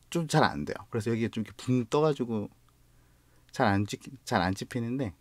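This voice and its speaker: A man speaks with a Gyeongsang accent in a fairly low voice, recorded dry and close with little room sound. He talks through a product review in a relaxed, explanatory way, now and then breaking off a word to correct himself. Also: dry recording. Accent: Gyeongsang Korean. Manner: relaxed, explanatory, slightly halting with self-corrections. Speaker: guitar gear reviewer. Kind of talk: casual product review vlog.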